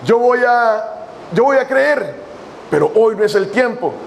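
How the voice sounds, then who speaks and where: High-voiced man preaching a sermon. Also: lively intonation, creaky, a little hiss or hum. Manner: preaching with long pauses, reading scripture slowly. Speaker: pastor. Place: church hall.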